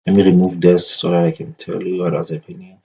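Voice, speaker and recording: A man's voice: low voice, level tone, quick pace, gravelly, dark tone, breathy edge. A man giving casual, unscripted commentary as a market analyst, thinking aloud and often repeating phrases as he goes. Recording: dull lo-fi microphone sound.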